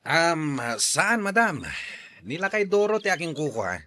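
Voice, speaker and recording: A man's voice: on the high side, big pitch swings, a little slow, sharp tone, full character voice. An actor playing an eager, inquisitive man who is deferential to his betters in a radio drama. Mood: curious, deferential.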